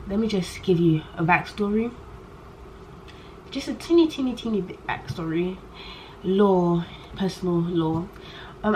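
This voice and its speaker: A young woman in her late teens, chatting candidly to camera in a rambling way. She talks loudly on purpose and keeps trailing off and restarting her sentences. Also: lowish voice. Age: young adult. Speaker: vlogger. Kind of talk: casual chat to camera. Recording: poor audio with faint background noise.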